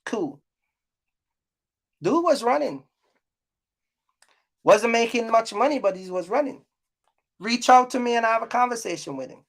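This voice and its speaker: High-voiced woman, blunt and emphatic. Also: animated, a little slow, pauses often. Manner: blunt, scolding, emphatic and repetitive. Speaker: YouTube host giving trucking business advice. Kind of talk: casual unscripted rant to viewers.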